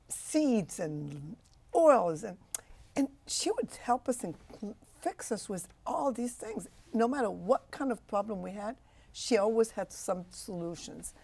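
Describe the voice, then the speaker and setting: A woman's voice: mid-range voice, very animated, slow pace, creaky, a little mellow. A woman in her sixties from Egypt, a physician answering interview questions with passionate, emphatic phrasing. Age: 60s. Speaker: physician guest.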